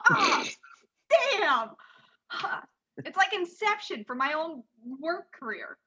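A woman's voice: very high-pitched, very animated, slow pace, bright, forceful tone, muffled recording. A woman acting out improvised comedy scenes in an exclamatory, theatrical way.

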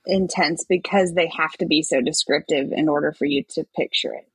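Woman, podcast co-host, slightly low husky voice, casual chat, dry sound. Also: brisk, dry recording, low-quality recording.

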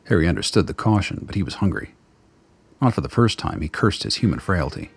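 A man narrating a scripted radio play as a measured, literary storyteller. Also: deep voice, lively intonation, gravelly, slightly rough.

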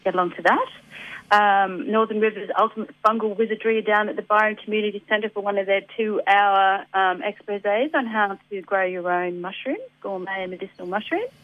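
An Australian woman with a slightly gruff voice from a head cold, chatting on community radio about local events with many ums and easy laughs. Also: medium pitch, a little fast, no echo. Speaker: environment centre guest on community radio. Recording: dry tinny phone line.

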